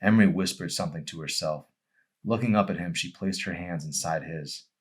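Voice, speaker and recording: A man's voice: low-pitched, a little fast, husky. A man reading his own novel aloud in a dramatic audiobook narration style.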